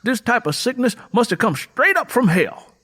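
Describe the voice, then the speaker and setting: A man voicing a poor, defiant young rural laborer with a quick temper and folksy country speech in an audiobook. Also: extremely high pitch, very animated, sharp tone, breathy edge, voice pushed up, heavily acted. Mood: grim, certain. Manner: folksy rural vernacular, double negatives. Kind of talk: audiobook character dialogue.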